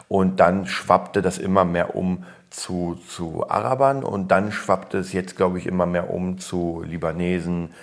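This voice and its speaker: A man's voice: low voice, vocal fry. A man from Berlin, born in Poland, hosting a podcast and chatting casually with lots of restarts and fillers. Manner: rambling, frequent restarts and fillers, blunt. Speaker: podcast host. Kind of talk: casual opinion chat.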